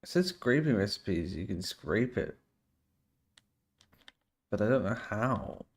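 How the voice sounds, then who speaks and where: A man's voice: lowish voice, very animated, slow pace. A man streaming a survival game, chatting to viewers and thinking aloud as he plays, sounding a bit nasal and sniffly from a cold. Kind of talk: casual gameplay commentary.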